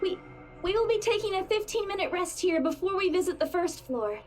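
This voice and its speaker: A courteous young female estate agent in a visual novel, high-voiced and eager to please. The delivery is professional customer service, with game music clearly behind it. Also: lively intonation, fairly quick, a little mellow. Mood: polite, helpful.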